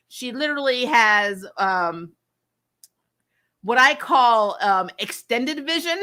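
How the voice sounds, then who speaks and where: A woman host giving a casual, chatty recap and review, retelling things in an animated way with frequent asides. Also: on the high side. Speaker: YouTube host reviewing TV episodes.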